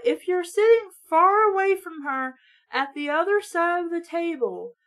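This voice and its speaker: Woman, high voice, casual book reading, sarcastic asides, blunt, profane. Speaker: host reading a book aloud with commentary. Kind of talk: casual, sweary reading with sarcastic running commentary.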